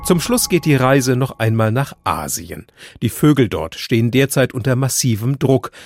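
A man presenting a science news radio segment in a measured, matter-of-fact scripted delivery. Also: medium pitch, animated, darkish tone, faint music behind.

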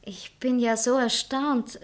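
An actress playing a passionate, self-dramatizing young bride-to-be in a satirical radio play. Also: female voice, on the high side, fairly even intonation, slow pace. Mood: guarded. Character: passionate young bride-to-be who writes novels. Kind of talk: theatrical literary satire dialogue.

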